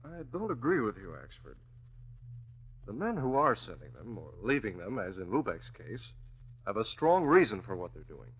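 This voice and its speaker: An actor playing a composed, authoritative newspaper boss, asking crisp questions in old radio drama style. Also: male voice, lowish voice, wildly swooping pitch, moderate pace, exaggerated voice. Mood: probing, thoughtful. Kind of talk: 1940s radio drama acting. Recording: dull, hissy old radio recording.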